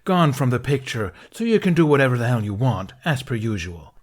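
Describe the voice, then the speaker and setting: A man's voice: mid-range voice, very animated, fairly quick, pitched above the performer's own voice. A man voicing a furious, grieving middle-aged knight who speaks in a heated, accusing, raised voice in an audiobook reading.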